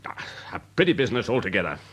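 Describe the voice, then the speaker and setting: A pompous older English major for a radio play. The voice is low but swoops dramatically up and down, upper-class, fussy and snobbish, full of theatrical bluster. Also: male voice, big pitch swings, racing, fairly bright tone, slight background noise. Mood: vexed, blustering. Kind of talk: British radio drama acting.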